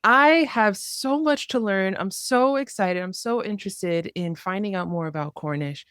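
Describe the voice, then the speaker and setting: A woman's voice: medium pitch, moderate pace, fairly bright tone, clean tone, no room sound, clean studio sound. A woman hosting a language podcast who interviews her guest with open enthusiasm. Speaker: podcast host.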